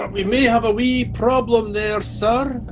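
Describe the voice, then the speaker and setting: A man's voice: very high-pitched, steady tone, takes it slowly, a little hiss or hum, full character voice. A man playing a gloomy, evasive starship engineer with a Scottish accent in a comic radio play. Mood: sheepish. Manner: doom-laden, evasive.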